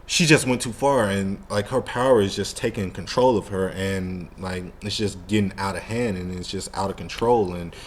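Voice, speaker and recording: Slangy low-voiced man in a noisy recording. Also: moderate pace, hardly any pauses. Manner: slangy, profane, rambling. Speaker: show host. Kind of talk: casual fan discussion chat.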